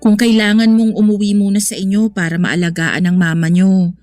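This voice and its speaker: A woman's voice: medium pitch, flat delivery, a little fast, a little mellow, no room sound, soft background music, natural voice. An actress playing a caring, sensible young woman in a radio drama, speaking earnestly and reassuringly. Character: caring, sensible young woman consoling her troubled boyfriend.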